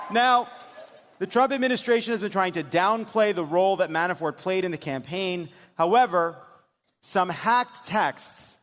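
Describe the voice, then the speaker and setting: A man with a notably high voice hosts from a large stage. His delivery is wry and emphatic, made for a live crowd. The hall rings around his words, with some noise underneath. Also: fairly bright tone. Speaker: host of a live comedy political podcast. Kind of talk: stage hosting to a live audience. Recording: big reverberant theater, some noise.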